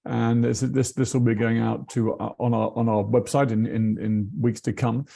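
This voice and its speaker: A man from England hosting a video call interview, halting and repeating himself as he asks questions. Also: mid-range voice, little pitch movement, creaky, warm tone. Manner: halting, repeats words, chuckles often. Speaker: interviewer, board member. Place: home in England.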